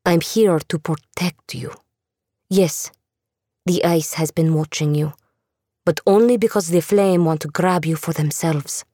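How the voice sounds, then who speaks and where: A woman voicing a calm, guarded woman secret agent who speaks in a measured, deliberate way. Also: lowish voice, dry recording, clean studio sound.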